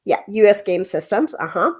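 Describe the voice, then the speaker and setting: A woman's voice: medium pitch, very animated, moderate pace. A woman in her forties with a froggy, congested voice, chatting casually as the host of a live tarot stream, with lots of ums and laughter. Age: 40s. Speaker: tarot reader hosting a live show.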